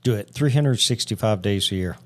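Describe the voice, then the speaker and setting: Folksy podcast guy, kinda low voice, super relaxed, keeps saying man and dude. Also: a little fast. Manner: laid-back, folksy, says "man" and "dude". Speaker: podcast co-host. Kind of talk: casual chat.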